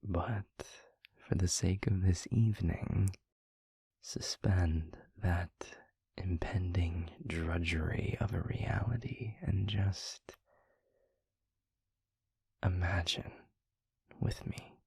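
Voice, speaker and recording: A man playing a tender, weary demon lover in an intimate audio roleplay, speaking softly and deliberately in a hushed, breathy, gravelly voice close to the microphone. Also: low-pitched, steady tone, no room sound, exaggerated voice. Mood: wistful, tender. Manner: slow, deliberate, soft, wordy romantic phrasing. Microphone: close microphone, dry.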